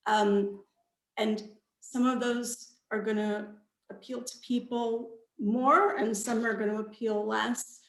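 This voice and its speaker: Slow-speaking woman in an echoey room. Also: a little high, fairly even intonation. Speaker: author on a festival panel. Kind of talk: casual, reflective panel talk. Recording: echoey, roomy sound.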